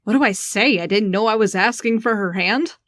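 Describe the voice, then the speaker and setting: A woman's voice: mid-range voice, fairly quick, a bit bright, dry recording, studio quality, lightly acted. A woman playing a sarcastic, brash young female ruler in an audio drama, slangy and irreverent. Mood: sarcastic, irritated. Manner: flippant, slangy, sardonic. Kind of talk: audio drama acting with first-person narration.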